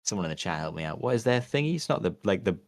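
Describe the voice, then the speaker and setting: Deep-voiced man rambling in casual chat. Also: very animated, dry recording. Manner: rambling, halting, many fillers and restarts. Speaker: podcast co-host.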